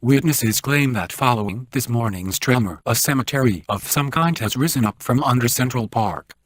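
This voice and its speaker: An actor playing a serious, urgent male news anchor in a video game, with a husky voice and formal newsreader delivery. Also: mid-range voice, moderate pace, fairly bright tone, no room sound, lightly acted.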